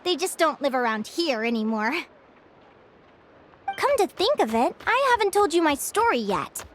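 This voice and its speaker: A woman's voice: high-pitched, sing-song, moderate pace, a little hiss or hum. An actress voicing an earnest, cheerful young winged girl who is not human and talks eagerly. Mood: storytelling. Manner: cheerful, eager, a bit flustered at times. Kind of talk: video game voice acting. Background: game music.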